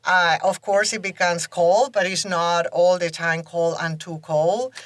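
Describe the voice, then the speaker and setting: A woman in her fifties with a Spanish accent talks casually as an interview guest. Her voice sits a little low, and the sound is close and dry. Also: little pitch movement, unhurried, dry recording. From southern Spain. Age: 50s. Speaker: podcast guest, professor.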